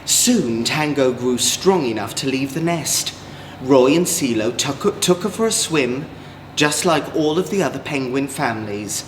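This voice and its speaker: A man with an English accent, a drag queen storyteller, reading a children's story in an expressive, gentle way. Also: mid-range voice, a little slow. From Manchester, England. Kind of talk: children's storybook read-aloud. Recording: echoey room, clear background noise.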